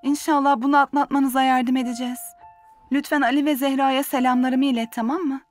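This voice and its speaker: A woman voicing a gentle, caring mother in a dubbed children's cartoon, tender and concerned. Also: high-pitched, fairly even intonation, fairly quick, soft background music, lightly acted.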